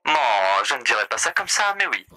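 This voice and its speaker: A man's voice: on the high side, big pitch swings, fairly quick, sharp tone, full character voice, low-quality recording. A man voicing a suave, theatrical male demon who runs a hotel, courtly and mocking in a voice roleplay. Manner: grandiloquent, courtly and sardonic.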